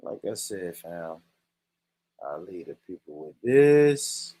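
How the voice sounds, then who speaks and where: A man's voice: low-pitched, big pitch swings, slow, dark tone. A man hosting a vlog, chatting loosely. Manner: laid-back, addressing viewers. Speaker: vlogger host. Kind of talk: casual vlog chat.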